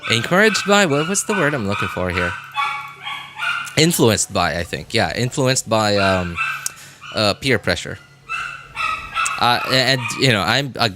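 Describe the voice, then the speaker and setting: A man chatting casually as he streams a game, rambling and halting as he hunts for words in a self-deprecating way. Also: on the low side, lively intonation, very noisy. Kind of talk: casual livestream chat while gaming.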